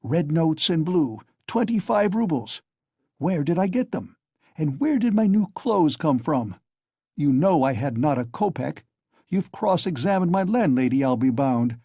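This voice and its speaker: A bitter young man, feverish and overwrought, spits his words with venomous mockery, as a male narrator puts him on. The voice is husky and mellow, pitched high with lively swings. The recording sounds dry and close. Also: measured pace, no echo, exaggerated voice. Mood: feverish mocking.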